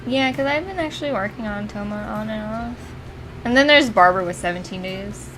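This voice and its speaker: A woman's voice: medium pitch, fairly quick, fairly bright tone, slight background noise, poor audio. A woman game streamer chatting casually and swearing often as she plays. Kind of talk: casual chat while streaming a video game. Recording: music in the background. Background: music.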